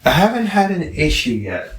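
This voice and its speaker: A man giving a casual, rambling product review, halting and correcting himself as he thinks aloud. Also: fairly high pitch, sing-song. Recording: roomy, echoing, distant from the mic.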